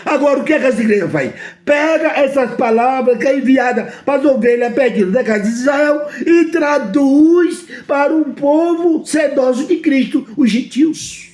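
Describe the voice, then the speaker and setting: A man's voice: very high voice, moderate pace, hardly any pauses, a little mellow. A man from Brazil with a Brazilian Portuguese accent, preaching a sermon emphatically and often asking his listeners whether they understand.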